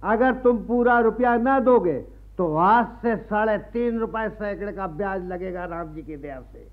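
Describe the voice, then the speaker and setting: An actor in a radio play voicing a greedy, pompous Brahmin moneylender with a taunting, falsely pious manner. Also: male voice, high voice, measured pace. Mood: stern, threatening. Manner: taunting, repeats a pious catchphrase. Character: greedy, wheedling male Brahmin moneylender, pompous village bully. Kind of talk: Hindi radio play acting. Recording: muffled lo-fi radio archive recording.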